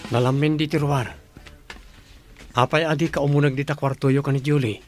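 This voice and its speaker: A man's voice: on the high side, fairly even intonation, moderate pace, music in the background, lightly acted. An actor playing a kind, attentive young working man in an Ilocano radio drama. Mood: tender, concerned.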